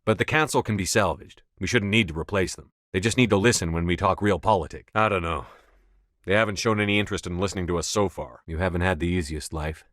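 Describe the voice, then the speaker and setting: An actor playing a resolute sci-fi military commander in a video game, with calm authority and measured, firm, clipped delivery. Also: male voice, sits low, no echo, studio quality. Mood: measured, wry. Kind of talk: scripted video game dialogue.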